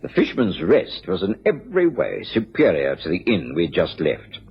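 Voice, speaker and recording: A man playing a genial, burly English doctor who narrates a radio detective drama in a genteel, measured manner. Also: deep voice, huge pitch swings, gravelly, slightly rough, heavily acted. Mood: reflective storytelling. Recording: muffled radio recording with music.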